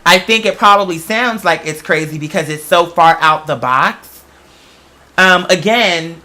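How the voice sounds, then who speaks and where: A man's voice: high voice, pushed and bright. An American man hosting a casual unscripted monologue, talking in a rambling, conversational way and swearing freely.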